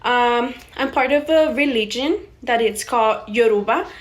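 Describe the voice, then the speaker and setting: A woman's voice: fairly high pitch, moderate pace. A young woman from Mexico, a native Spanish speaker, talking casually in English with a Mexican accent and lots of "like" and "um" fillers. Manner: chatty, many fillers like "like" and "um". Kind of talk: casual personal monologue.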